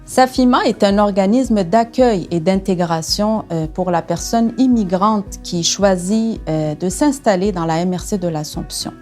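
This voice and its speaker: A woman's voice: mid-range voice, unhurried, hardly any pauses, warm tone, faint music behind. A woman speaking as an organisation's spokesperson, explaining its work in an interview. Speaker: spokesperson for a newcomer support organisation.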